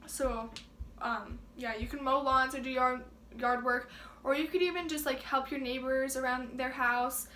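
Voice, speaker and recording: Teen girl co-host, casual chat, higher, bright voice, echoey room. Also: steady tone, normal speed, a little hiss or hum. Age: teens. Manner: chatty, many filler "likes".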